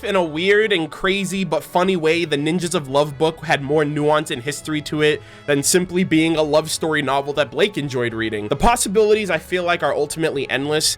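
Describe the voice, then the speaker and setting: A man's voice: a little high, a bit bright. A man giving casual, enthusiastic, rambling fan commentary as a channel host. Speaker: host of a fan channel about an animated series.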